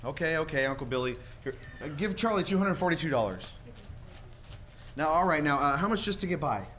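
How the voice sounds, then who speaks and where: A man's voice: a little high, animated, fairly quick, a bit bright. A man playing an earnest, flustered young savings-and-loan manager in a 1940s style radio play, stammering and pleading. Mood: urgent, relieved. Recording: live stage recording with background noise.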